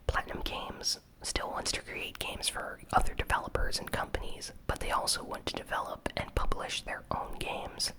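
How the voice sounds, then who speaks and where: A host whispering an ASMR news recap in a breathy, unvoiced voice, with casual, informal commentary and unhurried, hesitant phrasing. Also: rough recording. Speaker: host of a gaming news channel.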